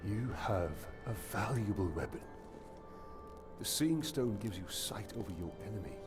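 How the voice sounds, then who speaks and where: A man's voice: on the low side, animated, moderate pace, lightly acted. An actor playing a grave, driven middle-aged male ranger in a fantasy video game, terse, commanding and earnest. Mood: firm. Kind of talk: dramatic fantasy video game cutscene acting. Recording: loud orchestral game music behind.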